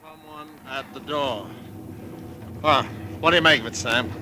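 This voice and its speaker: An actor playing a matter-of-fact man in a 1940s radio drama, deliberate and sober as he reads aloud. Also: medium pitch, pushed and bright, slight background noise. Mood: intrigued, sober. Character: matter-of-fact man reading a grim news clipping.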